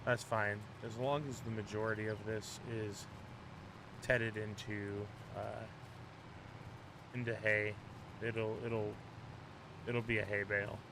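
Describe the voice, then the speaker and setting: A man's voice: fairly low pitch, little pitch movement, slow, pauses often, background noise. A man giving casual gameplay commentary, easygoing and rambling, sounding slightly congested. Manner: easygoing, rambling, self-deprecating. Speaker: gaming channel host.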